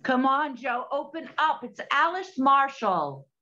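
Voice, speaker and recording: Businesslike lady sleuth of the 1920s woman acting, slightly high voice, slangy, radio-play delivery, a bit lo-fi. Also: big pitch swings, a little slow, bright, forceful tone, exaggerated voice. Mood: insistent. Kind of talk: 1920s period audio drama acting.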